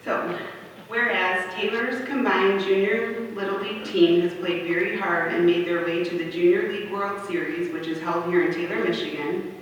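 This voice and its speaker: A woman's voice: fairly low pitch, steady tone, normal speed. Councilwoman, formal read-out at a public meeting, distant mic in a reverberant hall with some noise. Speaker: city councilwoman. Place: large indoor council chamber. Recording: echoey hall from far off, muffled, faint noise.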